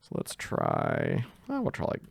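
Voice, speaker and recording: A man giving an informal screen-share software tutorial, thinking aloud hesitantly as he goes. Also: extremely low pitch, wildly swooping pitch, unhurried, vocal fry, no room sound. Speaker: tutorial host, coding instructor.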